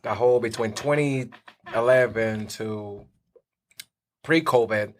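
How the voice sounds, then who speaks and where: Relaxed man in his thirties from Puerto Rico. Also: on the low side, takes it slowly. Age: 30s. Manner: relaxed, hesitant with fillers. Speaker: podcast guest. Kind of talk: casual interview answers.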